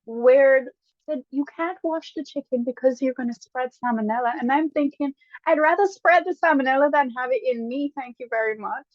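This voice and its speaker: A woman with a Guyanese accent, a guest casually telling stories with lively bursts and frequent laughs. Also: high voice, no room sound. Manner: lively, laughs often, quick bursts when excited. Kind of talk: casual storytelling chat. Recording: dull lo-fi dry sound.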